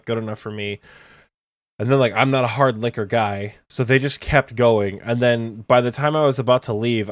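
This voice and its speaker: A man in his twenties telling a story on a podcast, animated and casual, with swearing. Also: medium pitch, no room sound. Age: 20s. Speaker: podcast co-host.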